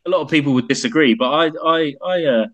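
A man's voice: a little high, quick pace, fairly bright tone. A man co-hosting a podcast, chatting in an easygoing way with stumbling restarts. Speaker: podcast co-host. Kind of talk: casual chat.

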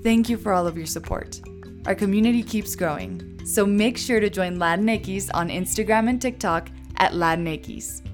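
A woman's voice: mid-range voice, normal speed. A woman hosting an interview podcast, asking questions in a friendly, encouraging way. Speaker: host. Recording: faint music behind.

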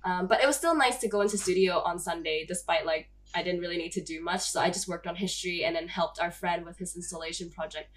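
A woman's voice: fairly low pitch, fast, hardly any pauses. A young woman from Hong Kong hosting a podcast, chatting casually with lots of "like" fillers and frequent laughs. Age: young adult. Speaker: podcast host. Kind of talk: casual chat.

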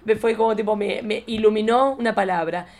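A woman in her forties with a Rioplatense Argentine accent hosts a casual conversation. She talks at a rapid clip at a middle pitch. Her storytelling is expressive, theatrical and playful. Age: 40s. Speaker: podcast host. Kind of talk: casual chatty interview with personal anecdotes.

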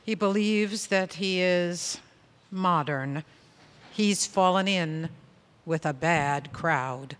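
An actress playing a suddenly aged sorceress, giving a grave, deliberate dramatic monologue in stage comedy theatre. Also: female voice, fairly low pitch, heavy background noise. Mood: disdainful lament. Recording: live theatre hall with long reverb. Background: music.

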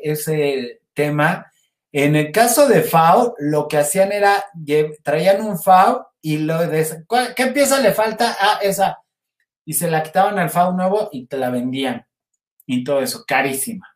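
Mexican guy hosting a live chat, kinda high voice, rambly and informal, roomy echo. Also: unhurried. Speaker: livestream host. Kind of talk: casual live chat with viewers. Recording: roomy, echoey sound.

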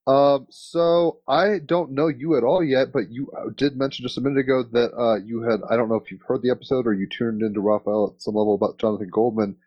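A man's voice: medium pitch, fairly even intonation, a little fast, hardly any pauses, muffled recording. A man hosting a casual interview, rambling along in laid-back, slangy phrasing. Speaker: interviewer and host.

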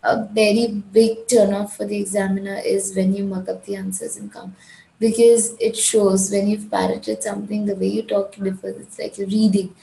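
A woman's voice: mid-range voice, little pitch movement, normal speed, gravelly, dark tone, reverberant, a little hiss or hum, low-quality recording. A woman from India with a Gujarati English accent, teaching an IELTS speaking lesson in a firm, direct way that repeats key points. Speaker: IELTS tutor. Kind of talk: instructional talk.

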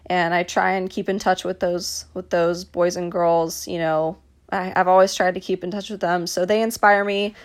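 A woman's voice: lowish voice, flat delivery, moderate pace. A young woman from Dallas, hosting a casual solo podcast and talking in a chatty way, with lots of ums and restarts. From Dallas, Texas. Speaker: podcast host, mental performance coach.

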